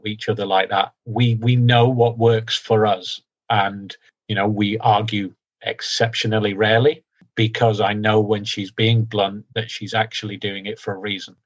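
A man from the UK talking candidly as a podcast guest, loud and direct, often mocking himself. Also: fairly low pitch, muffled recording. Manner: loud, direct, self-deprecating. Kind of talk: candid conversational interview.

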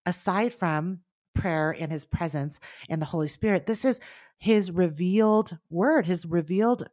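Woman co-host, native Mandarin speaker, lowish voice, dry close sound, earnest and animated. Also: a little slow, dry recording. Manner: earnest, animated, speeds up in bursts. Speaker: podcast co-host. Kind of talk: casual faith podcast chat.

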